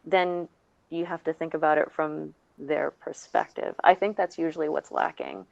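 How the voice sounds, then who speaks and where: A woman's voice: low-pitched, fairly even intonation, moderate pace, gravelly, darkish tone, dry recording. A woman panelist talking casually and emphatically, often checking in with her listeners, on slightly dull audio.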